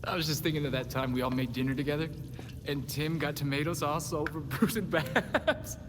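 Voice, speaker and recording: A man's voice: on the high side, a little fast, lightly acted. A man playing an earnest, kindly young vigilante in a video game, speaking sincerely and openly. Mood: nostalgic, deflated. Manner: earnest, animated, apologetic. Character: earnest, kindly young male vigilante, eldest brother type. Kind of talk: video game cutscene acting. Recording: long reverb, clear background noise.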